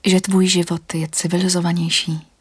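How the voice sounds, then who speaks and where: A serene young forest woman , as a woman reading a storybook puts her on, who speaks in hushed, soothing whispers. The voice is low and breathy, patient and calm throughout.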